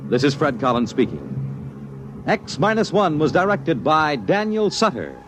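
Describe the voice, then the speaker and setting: A man's voice: fairly high pitch, huge pitch swings, measured pace, over music. A man with an American accent announcing from a script in 1950s radio style.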